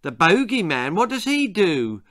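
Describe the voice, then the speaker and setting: A man voicing a cheeky, uncouth little girl in a children's story, pert and expressive. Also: sits high, measured pace, voice pushed up, full character voice. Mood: frightened.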